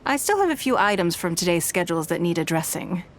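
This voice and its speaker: A woman playing a composed, gracious noblewoman of power in a video game, speaking with formal, measured politeness. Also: on the low side, sing-song, slight background noise.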